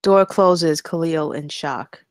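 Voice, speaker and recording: A woman's voice: low-pitched, slow, no room sound, clean studio sound. A woman narrating screenplay stage directions at a table read, in a scripted style.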